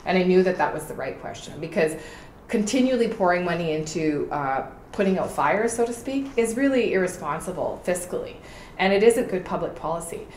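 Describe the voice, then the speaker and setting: Low-voiced passionate woman in an echoey room. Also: expressive, brisk, darkish tone. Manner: passionate, emphatic. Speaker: political candidate. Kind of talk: interview answers. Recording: echoey room with faint noise.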